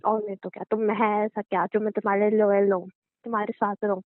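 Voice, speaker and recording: A woman's voice: mid-range voice, flat delivery, brisk, no echo. A young woman bantering casually as a girlfriend on a phone call, sassy, curt and mocking. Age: young adult. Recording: dry, narrow tinny phone-line sound.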